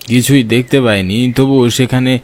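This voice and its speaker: A man's voice: mid-range voice, steady tone, moderate pace, slight background noise, close to an everyday voice. A man voicing a grave, elderly widower in a horror cartoon. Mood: grave, sombre. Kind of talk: cartoon horror drama dialogue.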